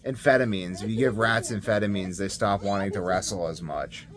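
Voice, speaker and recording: Low-voiced man thinking aloud. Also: steady tone, brisk, crowd noise. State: sleepy. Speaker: vlogger. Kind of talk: casual, rambling personal video diary. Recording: clear background noise.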